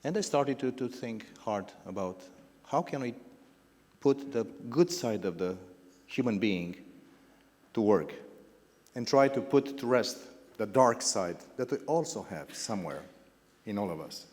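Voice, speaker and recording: A middle-aged man with a Romanian accent delivers gala welcome remarks in a rather low voice, pausing often and circling back to rephrase. His words ring out across a big banquet hall through a stage microphone, with a long reverb trailing each phrase. He talks in loose, unscripted lists. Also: animated, a little mellow. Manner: halting and discursive, restarting phrases and listing words. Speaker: founding president giving welcome remarks. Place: large indoor gala hall.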